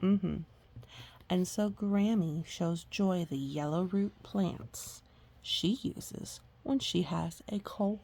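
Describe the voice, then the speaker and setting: A woman with a husky, breathy voice reading a picture book aloud to young children, with chatty, gently explaining asides and murmured agreement. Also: on the low side, slow pace.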